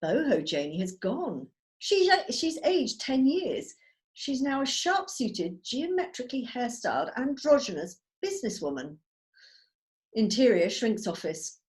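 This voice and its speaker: A woman's voice: fairly high pitch, big pitch swings, unhurried. A woman narrating scripted stage directions at a drama table read held over a video call.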